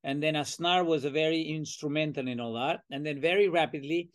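An older man from Venezuela with a Venezuelan accent, telling his career story as a podcast guest in an animated way, acting out old conversations with plenty of fillers. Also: on the high side, brisk. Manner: animated, acts out remembered dialogue, many fillers. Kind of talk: casual storytelling interview.

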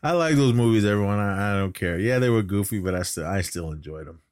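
Low-voiced middle-aged man chatting, dry close sound. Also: expressive, fast talker, no echo. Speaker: podcast co-host. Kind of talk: casual chat reviewing wrestling.

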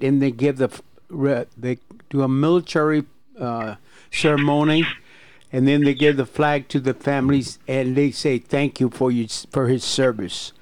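A man giving informal personal testimony as a guest, speaking in a halting, blunt way. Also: mid-range voice, a little mellow, no echo. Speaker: interview guest.

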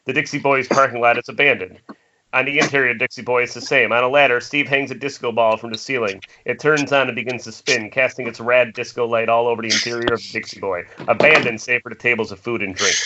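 A man narrates screenplay action at an amateur table read. His voice is mid-pitched and bright. The sound is a little dull, like an internet call. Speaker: narrator reading stage directions. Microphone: remote call from home. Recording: muffled internet call audio.

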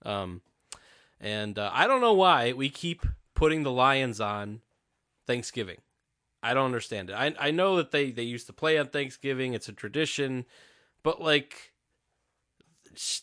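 Guy hosting a fantasy football chat, bright voice, dry sound, kinda rambly. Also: mid-range voice, moderate pace, no room sound. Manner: relaxed, rambling, trails off often. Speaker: podcast host. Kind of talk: casual chat.

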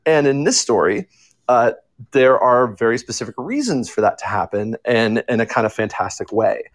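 A man's voice: mid-range voice, lively intonation, normal speed. A man speaking informally as a writer on a podcast panel, explaining in an earnest, emphatic way.

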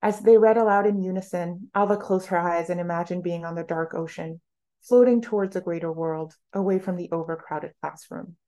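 A woman's voice: lowish voice, steady tone, fairly quick, warm tone. A woman author reading literary prose aloud from her own novel in a narrative style.

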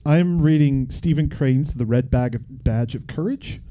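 A man's voice: medium pitch, a little slow, muffled recording. A man co-hosting a casual comedy podcast with dry, self-deprecating humor. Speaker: podcast co-host.

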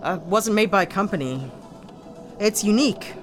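A woman's voice: medium pitch, lively intonation, moderate pace, music in the background, lightly acted. A woman playing a hesitant, guarded ex-cop pilot. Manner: hesitant, self-deprecating, awkward laughs. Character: guarded female ex-officer pilot, modest hero. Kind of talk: audio drama acting.

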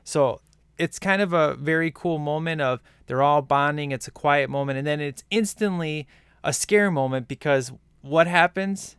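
A man's voice: on the high side, normal speed, a bit bright, smooth, no echo, studio quality. A man co-hosting a casual movie-review chat, rambling and enthusiastic with lots of fillers. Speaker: podcast co-host reviewing a film.

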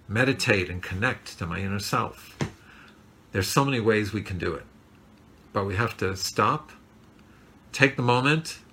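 A man's voice: on the low side, gravelly, pushed and bright, slightly rough. A man giving an earnest, reflective Torah class, with many fillers and self-corrections.

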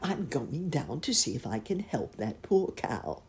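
A woman doing a courteous old rabbit gentleman. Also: rumbling low, very animated, unhurried, exaggerated voice. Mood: concerned.

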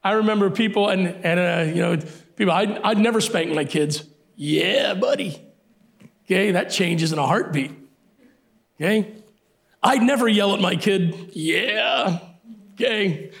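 Emphatic high-voiced male pastor. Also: a little slow, long pauses. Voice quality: sometimes hoarse from yelling. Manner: emphatic preaching with repeated phrases. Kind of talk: sermon.

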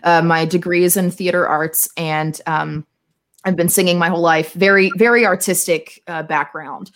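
Young woman, slightly low pitch, casual storyteller, animated, theatrical, self-mocking, podcast guest. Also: normal speed. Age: young adult. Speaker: podcast guest, tech marketer.

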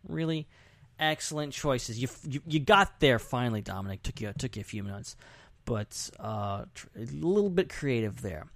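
A man's voice: medium pitch, animated, normal speed, gravelly, no echo, clean studio sound. A man chatting casually and animatedly as a podcast host.

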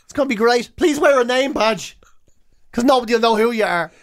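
An Irish man with a high voice hosts a comic radio show. He banters playfully and acts out imagined remarks, recorded close in a very dry studio. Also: expressive, a little fast, no echo. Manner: jokey, acts out imagined lines.

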